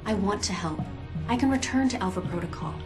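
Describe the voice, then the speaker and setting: Loyal insider ally, played by a woman: young agent, restrained but worried, mid-pitched, game drama over music. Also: fairly quick, close to an everyday voice. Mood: concerned, earnest. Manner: earnest, emotionally restrained. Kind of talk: video game cutscene acting. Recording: loud music underneath.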